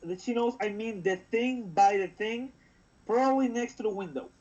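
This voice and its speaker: A man's voice: sits high, normal speed, a little mellow. A man from Chile with a Chilean accent and a nasal voice, chatting casually on a live stream and rambling as he loses his thread. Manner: rambling, trails off and restarts. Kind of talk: casual chat. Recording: dull lo-fi sound.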